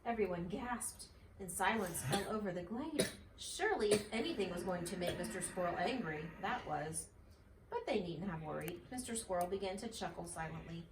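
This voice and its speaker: A woman's voice: lowish voice, animated, normal speed. Woman reading a storybook, echoey room. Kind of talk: children's story read-aloud. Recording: echoey, slightly distant, faint noise.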